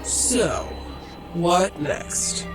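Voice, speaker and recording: Man acting a slow, higher-voiced, weary dark agent. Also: big pitch swings, full character voice. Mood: frustrated. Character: weary, frustrated reality-bending dark agent, subordinate to his creator. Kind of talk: radio drama acting. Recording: echoing space, loud music underneath.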